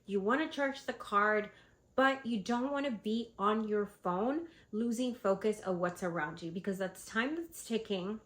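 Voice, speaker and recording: Female vlogger with a head cold, congested and chatty, middle-pitched voice. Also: a little slow. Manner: chatty, animated, lots of fillers. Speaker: vlogger and candle seller. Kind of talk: casual advice vlog.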